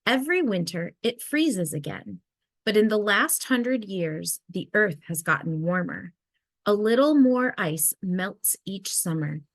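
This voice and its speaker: A woman reading a children's nonfiction picture book aloud in a measured, expressive storytelling way. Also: mid-range voice, no echo. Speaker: read-aloud narrator.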